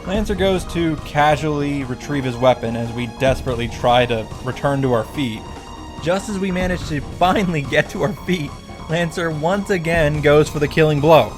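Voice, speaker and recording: Guy doing a wry story recap, dramatic emphasis, music playing loud behind him. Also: fairly high pitch, normal speed. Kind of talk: casual scripted narration of a visual novel recap. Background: background music.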